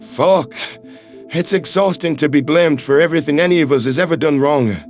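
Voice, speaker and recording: Man playing earnest, higher-voiced human ambassador in love. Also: moderate pace, faint music behind, natural voice. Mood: weary, frustrated. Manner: pleading, heartfelt, occasional swearing. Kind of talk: sci-fi audio drama dialogue.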